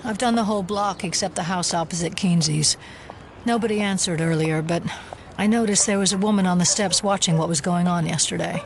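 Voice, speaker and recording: An actress playing a composed, skeptical FBI agent with a measured, polite delivery in an audio drama. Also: female voice, on the low side, a little hiss or hum, lightly acted. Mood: dry, businesslike. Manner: measured, polite, matter-of-fact.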